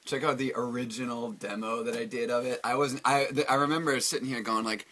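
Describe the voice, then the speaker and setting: A man's voice: medium pitch, fairly even intonation, a little fast, sharp tone. A singer-songwriter man talking casually in his recording studio, rambling with lots of fillers and the odd swear word.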